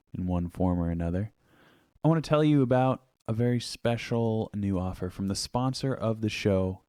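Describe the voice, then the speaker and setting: A man hosts a casual music podcast from his home studio. His voice sits very low and sounds dry and close on a studio mic. He jokes around and stretches phrases for playful emphasis. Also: warm tone, no room sound. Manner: playful, jokey, drawn-out emphasis. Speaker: podcast host. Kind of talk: casual chat with an ad read. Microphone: studio microphone.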